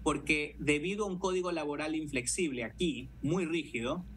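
A man's voice: fairly high pitch, normal speed, a bit bright. A man in his thirties with a Guayaquil Ecuadorian accent, answering interview questions over a video call. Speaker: interview guest, city councillor. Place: living room, indoors. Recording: noisy video call audio.

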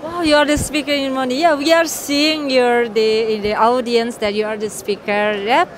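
A woman's voice: fairly high pitch, steady tone, normal speed, slight background noise. Upbeat woman interviewer. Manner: upbeat, broken English phrasing. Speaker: event interviewer. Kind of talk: interview hosting. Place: trade fair booth, indoors. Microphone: handheld mic.

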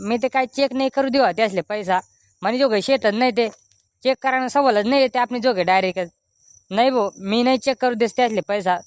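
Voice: medium pitch, no echo, close to an everyday voice. A performer voicing a boastful, defensive village man in a comedy cartoon, with a Khandeshi Ahirani accent.

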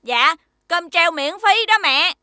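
Cute little creature, cartoon, inquisitive, childlike, very high pitch, sharp, close and dry. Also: female voice, lively intonation, slow, no room sound, heavily acted. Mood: curious. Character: small, cute, curious blue-green magical creature friend.

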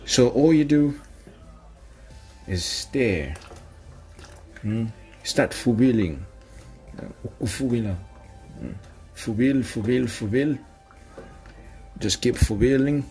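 A Zambian-accented man in his thirties walks viewers through a recipe in a small home kitchen. He sounds chatty and encouraging, peppering his steps with fillers and little "mm?" checks. Music plays clearly behind him. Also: medium pitch, expressive, slow pace, pauses often, mellow. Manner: chatty and encouraging, many fillers and questioning "mm?" tags. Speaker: cooking channel host. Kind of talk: home cooking demonstration.

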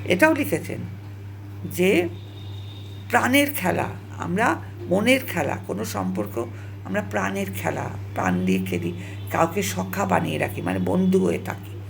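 A woman vlogger speaking straight to viewers in an opinionated, halting monologue, repeating herself and scolding with emphatic rhetorical questions. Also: fairly low pitch, wildly swooping pitch, gravelly, slight background noise.

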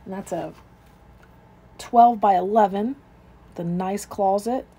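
A woman's voice: mid-range voice, takes it slowly, mellow, breathy edge. A woman real estate agent narrating an informal, unscripted walkthrough of a home, describing each room as she moves through it. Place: indoors, moving through rooms of a house.

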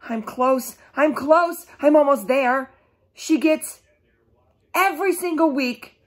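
A middle-aged woman reading a children's book aloud, animated and emphatic, breaking off to question her young listeners. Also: high voice, slow pace. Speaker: storybook reader for children. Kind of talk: children's read-aloud with asides. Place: small room at home, indoors.

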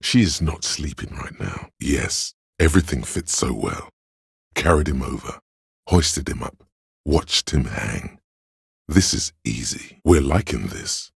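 A man voicing a detective's coolly analytical inner voice of logic in a video game, gravelly and husky, with measured, deliberate delivery. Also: extremely low pitch, dry recording, clean studio sound, full character voice. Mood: quietly confident. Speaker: game voice actor. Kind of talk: scripted video game narration.

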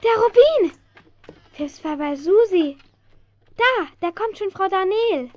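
An actress playing an excitable young girl, the heroine's friend, in a German children's radio play. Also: extremely high pitch, slow, heavily acted. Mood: excited. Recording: dull 1970s audio drama recording.

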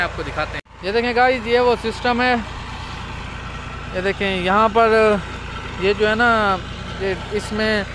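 A young man with a Pakistani Punjabi accent talks in a high, chatty voice, calling his viewers "guys" as he walks them around. He records on a phone held at arm's length outdoors on a farm. A tractor engine drones clearly in the background. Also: background noise. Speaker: vlogger. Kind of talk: casual walkthrough vlog.

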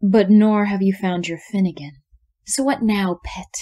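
A woman's voice: mid-range voice, steady tone, unhurried, mellow, lightly acted. A woman playing a taunting, imperious fairy creature in an audio play.

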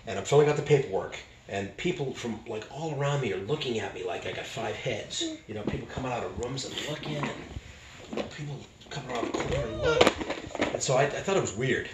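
An older man from Boston, a street preacher, tells his conversion story with animated gestures in a small living room. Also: medium pitch, fast talker. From Boston, United States. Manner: animated, acts out dialogue with hand gestures. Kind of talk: personal testimony storytelling. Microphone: camera a few feet away. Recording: roomy, distant and noisy home video.

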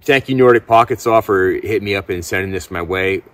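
A man's voice: low-pitched, brisk, a bit bright. A middle-aged man giving a casual gear review to camera outdoors, laid-back and informal with enthusiastic slang. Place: outdoors in snowy woods.

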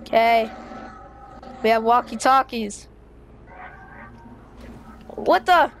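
An excitable, dramatic young player improvising a roleplay while playing a video game. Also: mid-range voice, drawn-out words, a little hiss or hum.

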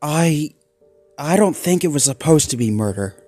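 A man's voice: fairly high pitch, lively intonation, normal speed, warm tone, faint music behind, voice pushed up. A man voicing a determined young male Labrador dog who talks in an intense, resolute way. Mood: hesitant.

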